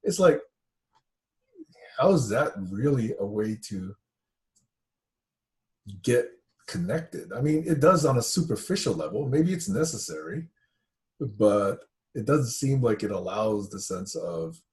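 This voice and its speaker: A man from Taiwan talks in a fairly low voice, reflective and unhurried. He pauses and fills gaps as he tells his stories to the group, thinking things through as he speaks. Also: very animated, darkish tone. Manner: thoughtful, storytelling, with pauses and fillers. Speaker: discussion participant.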